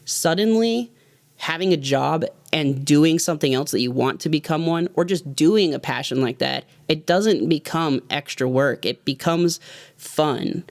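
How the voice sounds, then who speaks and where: A man's voice: a little high, a little slow, gravelly, no room sound, studio quality. A man in his thirties, a music producer, giving an earnest, heartfelt talk to his viewers in a loose, rambling way. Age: 30s. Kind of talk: reflective motivational vlog talk.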